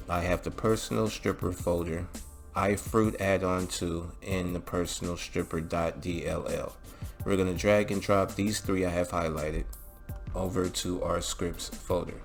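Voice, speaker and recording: Male tutorial host, very deep voice with gravelly fry, casual and instructional, music underneath. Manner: instructional, often checks in with "all right" and "okay". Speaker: video host giving mod installation tutorial. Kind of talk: casual step-by-step screen tutorial narration.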